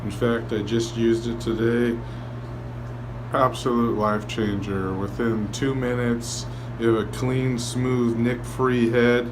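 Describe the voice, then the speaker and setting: A man's voice: mid-range voice, flat delivery, slow pace, background noise. A man from California giving a salesy, enthusiastic testimonial. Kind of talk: promotional testimonial.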